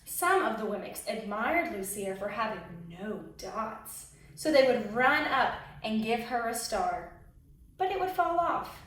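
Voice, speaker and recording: A woman reading a children's story aloud expressively, pausing to put questions to young listeners. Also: on the high side, animated, fairly bright tone. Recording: very echoey room, distant microphone.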